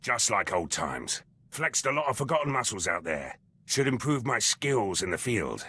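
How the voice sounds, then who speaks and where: An actor voicing a gruff, battle-hardened soldier in a video game, terse and blunt, speaking in clipped sentences. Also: male voice, fairly low pitch, big pitch swings, normal speed, bright, forceful tone, full character voice. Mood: proud, matter-of-fact. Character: gruff, battle-hardened male military commando, loyal and brash.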